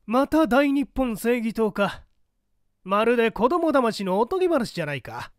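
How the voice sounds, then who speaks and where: A man acting a pompous, animated bureau chief. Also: moderate pace, dry recording, clean studio sound. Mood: amused, dismissive. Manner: grand, patronizing, booming. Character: hearty, pompous older male bureau chief, scornful and amused. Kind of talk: audiobook character acting.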